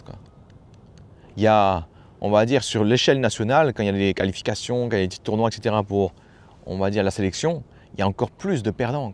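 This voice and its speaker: Low-voiced guy talking fast, coaching folks on fear and failure, slangy, repeats stuff to make his point. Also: darkish tone. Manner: familiar, slangy, repeats phrases for emphasis. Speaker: personal development coach. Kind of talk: casual motivational talk to camera.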